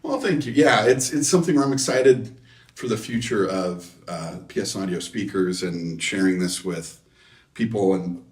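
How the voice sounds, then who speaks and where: A man with a low voice talks through technical design in a casual interview. He explains haltingly, restarting phrases as he goes. The sound is roomy, picked up at some distance from the microphone. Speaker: loudspeaker designer being interviewed. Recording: echoey room, distant microphone.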